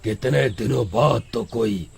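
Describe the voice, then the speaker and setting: A man playing a gloating, menacing demon in an animated horror-comedy story, with a gravelly, husky voice. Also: medium pitch, unhurried, exaggerated voice. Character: menacing male demon possessing a man's body.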